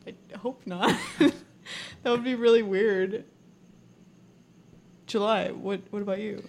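A woman's voice: mid-range voice, fairly even intonation, slow, darkish tone. A woman from Texas with a Texan accent, co-hosting a casual chat, chatty, with many fillers and frequent laughter. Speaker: podcast co-host.

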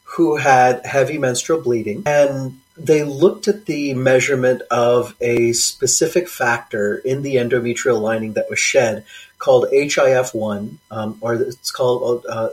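A man co-hosts a science podcast, speaking very slowly and earnestly and pausing often with fillers. His pitch sits mid-range for a man, and he explains research in a halting way. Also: steady tone, distant mic, low-quality recording. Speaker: podcast co-host. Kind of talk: casual science chat.

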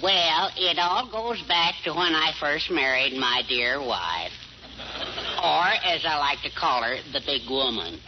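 An actor playing a meek, henpecked middle-aged husband with a halting, sheepish manner in a 1940s radio comedy. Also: male voice, on the high side, animated. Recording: noisy, muffled 1940s radio recording.

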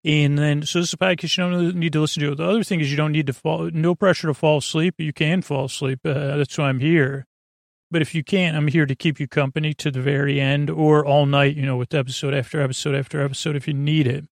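Creaky guy doing a sleep podcast, rambly n self-deprecating, keeps wandering off mid sentence, super dry close mic. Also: level tone, no echo. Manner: halting, full of tangents and self-interruptions; self-deprecating, low-key. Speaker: host of a bedtime sleep podcast. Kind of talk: rambling, meandering bedtime monologue.

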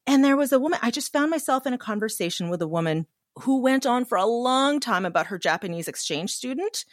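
A woman in her forties hosting a podcast interview, telling stories in an animated, engaged way. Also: fairly high pitch, brisk, no room sound, clean studio sound. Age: 40s. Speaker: podcast host. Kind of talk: conversational interview.

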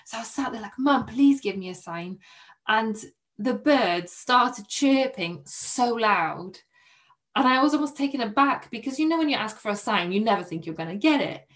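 A young Polish woman, a podcast guest, telling a personal story in a soft, hesitant way with many restarts. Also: on the high side, expressive, normal speed. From Poland.

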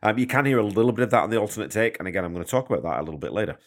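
A man's voice: lowish voice, rapid-fire. A man hosting a podcast from a hotel room on a portable mic, slightly congested from a cold, chatty and self-deprecating as he patiently explains things. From Canada. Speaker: podcast host. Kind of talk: casual podcast monologue.

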